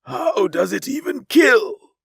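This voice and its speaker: A man voicing a pompous, arrogant male alien with a grandiose, drawn-out, mocking manner. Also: extremely high pitch, sing-song, creaky, a bit bright, breathy edge, dry recording, clean studio sound, voice pushed up, exaggerated voice.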